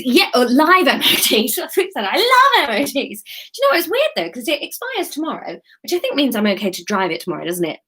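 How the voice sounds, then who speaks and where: A woman's voice: a little high, fairly bright tone, slightly rough. Chatty, giggly woman streaming live. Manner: chatty, rambling, self-mocking, laughs often. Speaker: comedian and author reading her story on a livestream. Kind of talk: casual livestream chat and reading aloud.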